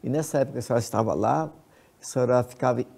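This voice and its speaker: Male host, 60s, Brazilian Portuguese, husky and mellow, conversational interviewing. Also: medium pitch, rapid-fire. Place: indoor TV-style studio. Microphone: lapel.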